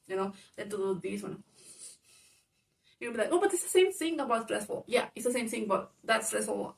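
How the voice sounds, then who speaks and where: A woman whose first language is Spanish talks through a personal story, with a Spanish-speaking accent and a loud voice. Her speech runs on with fillers and restarts, and a gravelly fry creeps in. She sits a little back from the microphone. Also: medium pitch, distant mic. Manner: rambling, lots of fillers, grows loud when reenacting arguments. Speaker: vlogger.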